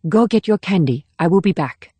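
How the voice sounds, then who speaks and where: A woman's voice: fairly low pitch, lively intonation, moderate pace, warm tone, slightly rough, dry recording. A woman voicing a protective adult woman who speaks in brief, reassuring phrases in an audiobook. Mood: instructing. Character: protective, soothing adult woman, secret agent. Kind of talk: audiobook character dialogue.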